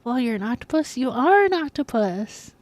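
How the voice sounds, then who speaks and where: A woman's voice: on the high side, big pitch swings, normal speed, darkish tone, no echo. A woman livestreaming a video game, chatting casually and playfully. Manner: giggly, reacts playfully. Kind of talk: casual chatty stream commentary. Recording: game music behind.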